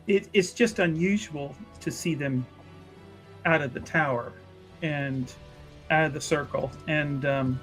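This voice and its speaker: Man acting out a genteel, gushing high-pitched church lady. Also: measured pace, fairly bright tone, soft background music. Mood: concerned, polite. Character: polite, effusive masked woman, Chantry official.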